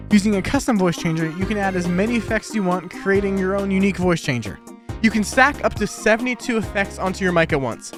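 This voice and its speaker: A young man talks at a brisk clip in a high-pitched voice. He sounds informal and matter-of-fact, like a developer presenting his own software. Music plays clearly behind him. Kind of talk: casual scripted video walkthrough. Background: background music.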